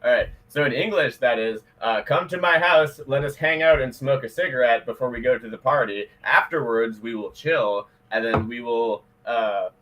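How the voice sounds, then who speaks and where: A man rambles through a casual live-stream chat, swearing offhandedly and piling up fillers. His voice has a bright edge and sits a bit back from the microphone. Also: mid-range voice, normal speed, few pauses, distant mic, slight background noise, poor audio. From Canada. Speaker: podcast co-host. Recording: voice a little off-mic.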